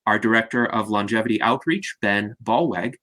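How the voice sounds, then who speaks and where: Bright-voiced man hosting a formal panel. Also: lowish voice, moderate pace. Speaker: host and moderator. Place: online video meeting.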